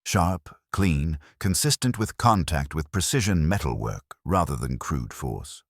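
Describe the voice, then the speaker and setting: A man reading as a quiet, deep investigator. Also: lively intonation, unhurried, dry recording, clean studio sound, exaggerated voice. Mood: clinical. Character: quiet, efficient police colleague, precise observer. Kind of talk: mystery audiobook dialogue.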